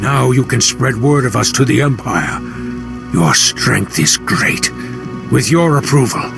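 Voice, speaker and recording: Gravelly, slow-spoken fanatic cult leader. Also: male voice, medium pitch. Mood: proud, zealous. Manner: reverent, grandiose declamation. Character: fanatical male escaped slave cult leader, worshipful and violent. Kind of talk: dramatic video game acting.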